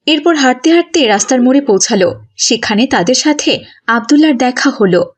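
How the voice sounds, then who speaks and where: High-voiced woman narrating a children's story. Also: expressive, a little fast, breathy edge.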